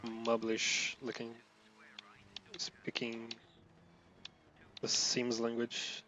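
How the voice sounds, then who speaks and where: A man from Brazil commenting casually while playing a racing game, rambling, running words together, swearing, with loud outbursts at his mistakes. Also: medium pitch, highs cut off. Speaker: gameplay streamer.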